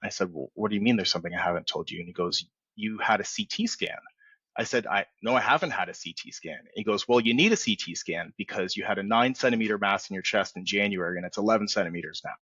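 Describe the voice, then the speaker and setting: A man in his forties, a podcast guest telling his story in a relaxed, rambling way full of fillers and restarts. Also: fairly low pitch, fast, husky, no echo, highs cut off. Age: 40s. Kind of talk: candid storytelling in a podcast interview.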